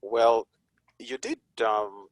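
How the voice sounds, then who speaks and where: A man from Zimbabwe, a police chaplain and guest, talking in an unhurried, reflective way with frequent chuckles. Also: mid-range voice, sing-song, no room sound. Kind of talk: conversational interview.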